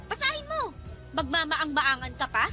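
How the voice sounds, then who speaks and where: A woman's voice: sits high, sing-song, moderate pace, music in the background. An actress playing an angry, scornful young woman who confronts and scolds a suitor in a Philippine radio drama. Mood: indignant, scornful.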